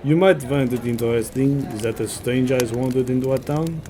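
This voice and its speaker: A man's voice: mid-range voice, moderate pace, close to an everyday voice. A man playing a shrewd, sanctimonious village lawman in an audio drama, courteous and formal with a probing manner. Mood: probing politeness. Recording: clear background ambience noise.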